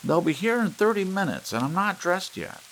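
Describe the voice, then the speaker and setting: A man voicing a bossy, nagging middle-aged housewife who scolds and gives orders in a raised, shrill voice. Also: sing-song, moderate pace, fairly bright tone, a little hiss or hum, full character voice, rough recording. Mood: scolding.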